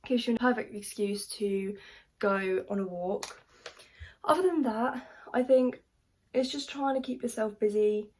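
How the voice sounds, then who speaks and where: A young woman from South Africa who grew up speaking Afrikaans, chatting casually while she does her makeup for a vlog. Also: medium pitch. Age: young adult. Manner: chatty, relaxed, says "literally" and "just" a lot. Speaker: makeup vlogger. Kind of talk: casual makeup tutorial chat.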